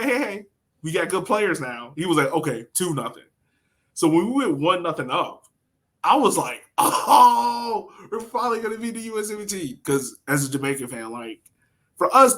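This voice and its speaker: A man's voice: on the high side, expressive, brisk, fairly bright tone. A man co-hosting a soccer podcast, talking in an informal, slangy way and telling stories casually. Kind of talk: casual sports chat.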